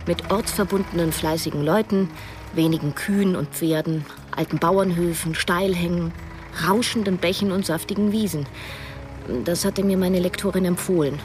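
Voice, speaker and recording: Actress as a low-voiced, wry young novelist narrating. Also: female voice, close to an everyday voice. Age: young adult. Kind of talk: scripted first-person radio drama narration.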